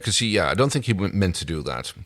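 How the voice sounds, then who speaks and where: A man with a fairly low voice talks as a co-host of a TV recap. His delivery is conversational and slightly hesitant. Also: very animated, quick pace, fairly bright tone. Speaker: podcast co-host. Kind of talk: casual TV episode recap.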